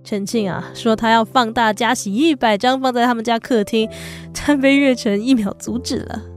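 Gentle, guarded young woman, fairly high voice acted. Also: steady tone, normal speed, lightly acted. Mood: tender. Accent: Taiwanese Mandarin. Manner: soft-spoken, restrained, tender. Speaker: voice actor in an audio drama. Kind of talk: Mandarin radio drama acting. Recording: music bed under the voice.

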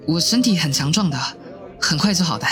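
A man's voice: on the high side, animated, fairly quick, fairly bright tone. An actor playing a shy, earnest teenage schoolboy in a radio drama, a young male who speaks hesitantly and eagerly. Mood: sheepish. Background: faint music.

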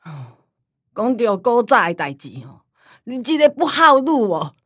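Scolding, forgetful old Taiwanese granny. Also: female voice, on the high side, sing-song, unhurried, no room sound, clean studio sound, full character voice. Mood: scolding, aggrieved. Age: older. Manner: loud, rambling, scolding. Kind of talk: radio drama acting in Taiwanese Hokkien.

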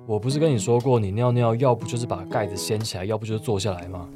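A man acts an exasperated young office worker telling his own story in a radio drama. His low voice has a Taiwanese Mandarin accent and sits in a roomy, echoing sound over clearly audible guitar music. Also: steady tone, fast, close to an everyday voice. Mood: annoyed. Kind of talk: radio drama acting with inner-monologue narration.

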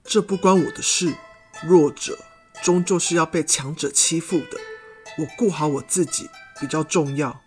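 A woman's voice: low voice, moderate pace, natural voice. A woman voicing a selfish young male stag beetle swordsman in a children's audio story. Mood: cold dismissive. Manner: aloof, dismissive, later humbled. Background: background music.

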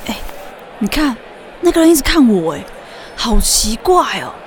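A woman's voice: on the high side, sing-song, normal speed, a little hiss or hum. A woman playing a friendly, outgoing, chatty teenage fan in an audio drama. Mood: puzzled. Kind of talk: Chinese audio drama acting. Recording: music under the voice.

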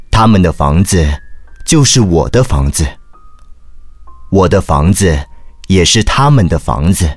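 An earnest, caring priest recounting his memoir. The voice is very low and unhurried, deliberate yet expressive, in a literary audio drama over faint music. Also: exaggerated voice. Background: music behind the voice.

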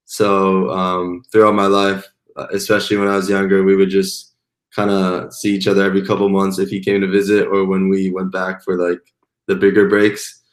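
A young man from Taiwan, a podcast guest, telling his story in a relaxed, casual way with fillers. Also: low-pitched, moderate pace, echoey room. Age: young adult. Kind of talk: casual interview answers.

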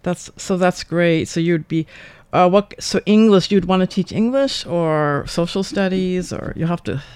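A mature woman hosting a casual interview, thinking aloud in halting questions that often trail off. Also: on the low side, a little mellow, clear, resonant tone, no room sound. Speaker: radio interview host.

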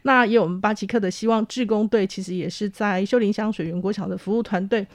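A woman's voice: mid-range voice, fairly even intonation, quick pace, dry recording, studio quality. A woman with a Taiwanese Mandarin accent explaining things off the cuff in an interview, hesitant and wordy, as university staff.

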